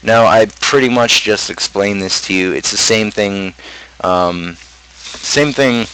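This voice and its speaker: A man's voice: lowish voice, slow pace, sharp tone, clean tone, poor audio. A man streamer talking casually about his own song lyrics, rambling and thinking aloud as he goes. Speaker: streamer host and amateur rapper.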